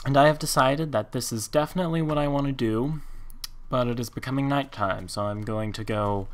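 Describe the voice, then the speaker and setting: A man's voice: mid-range voice, fairly quick. A man casually commenting on his game as he plays, thinking aloud and counting under his breath. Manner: thinks aloud, counts blocks, rambling asides. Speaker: Minecraft let's play host.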